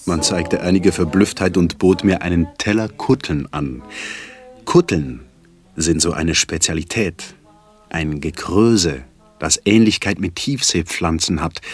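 Low-voiced eccentric poet. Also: male voice, animated, slow, slightly rough, full character voice. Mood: droll. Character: eccentric male poet, drolly polite, dreamy trickster. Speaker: stage actor reciting literary texts. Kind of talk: theatrical literary recitation with music. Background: guitar music.

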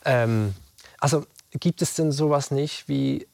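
A man's voice: mid-range voice, slow, vocal fry, a little mellow, dry recording. A man with a Swiss German accent, hosting an interview with conversational questions. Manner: conversational questions, with affirming murmurs. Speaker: host. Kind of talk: radio interview.

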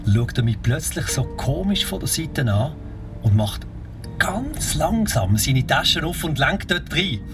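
A man's voice: on the high side, very animated, a little slow, over music. A man playing a chummy, teasing morning radio presenter with a Swiss accent. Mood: teasing suspense. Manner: upbeat, teasing, storytelling.

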